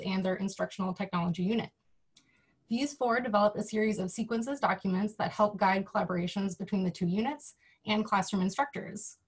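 A woman hosting an online event, announcing an award in a clear, formal way. Her voice sits at a middling pitch and comes through a video call. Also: rough recording. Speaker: event host presenting an award.

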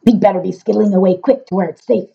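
A woman voicing a gentle, wary male giant in a storybook, explaining earnestly in quaint, muddled grammar. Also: medium pitch, steady tone, fast talker, vocal fry, breathy edge, highs cut off. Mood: hushed, urgent. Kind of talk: storybook character voice.

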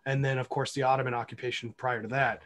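A man's voice: mid-range voice, level tone, fast, a bit bright. American man explaining on a panel. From Cleveland, United States. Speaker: panelist, wine documentary filmmaker. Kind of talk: casual panel discussion, explaining. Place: remote virtual panel call.

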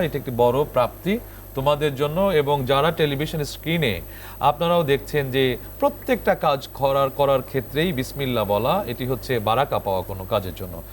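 A man's voice: a little high, a little hiss or hum. A man with a Bangladeshi accent hosting a television programme in a presenter's style, addressing guests and viewers. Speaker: TV show host.

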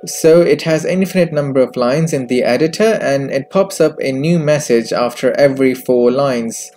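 A man presenting a software tutorial in scripted narration, recorded as a close voice-over with music behind him. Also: mid-range voice, normal speed. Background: background music.

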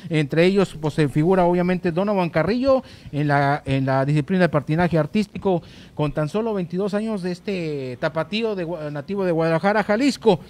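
A man co-hosting a sports show, giving a news round-up in a halting, hesitant way with repeated words. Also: high voice, darkish tone. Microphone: handheld microphone, masked speaker.

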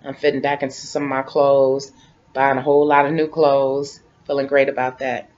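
Relaxed woman nearing fifty on webcam. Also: rumbling low, moderate pace. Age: 40s. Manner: relaxed, rambling, repeats herself. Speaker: vlogger. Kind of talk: casual talk to camera. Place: living room, seated on a couch. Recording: dull lo-fi webcam audio.

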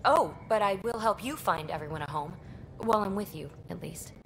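An actress playing a restless, quick-witted young female alien scavenger in a video game, talking in a breezy, teasing way. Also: mid-range voice, expressive, a little hiss or hum, natural voice. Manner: breezy, teasing, fast-thinking. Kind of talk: video game cutscene dialogue.

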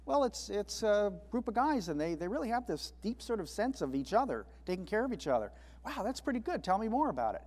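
A man's voice: sits high, sing-song, a little fast, hardly any pauses, darkish tone, long reverb tail. A man speaking reflectively on a panel discussion, acting out imagined dialogue as he explains. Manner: reflective, acts out quoted dialogue.

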